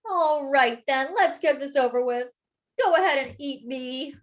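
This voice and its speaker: A woman's voice: high voice, measured pace, dull audio, pitched above the performer's own voice. A woman voicing a talkative little male doughnut, eager and dramatic, in a children's storybook reading. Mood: resigned, dramatic.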